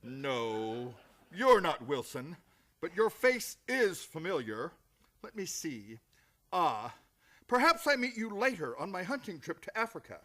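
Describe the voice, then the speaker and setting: A man's voice: on the high side, sing-song, measured pace, a bit bright, full character voice. A man playing a deluded, blustering fellow who believes he is the president, proclaiming grandly in a radio comedy. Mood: indignant, musing. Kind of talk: old-time radio comedy acting.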